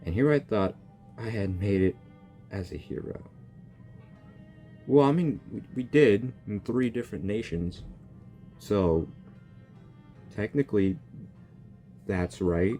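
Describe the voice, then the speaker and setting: Low-voiced, joking young gaming YouTuber. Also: male voice, slow pace, pauses often, faint music behind. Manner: joking, excitable, talks back to the characters. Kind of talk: casual game reaction commentary.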